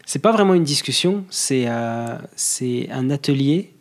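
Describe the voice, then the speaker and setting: A man, talking as a guest on a podcast in a relaxed, hesitant way, with many fillers and restarts. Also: medium pitch, unhurried. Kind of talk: casual conversation.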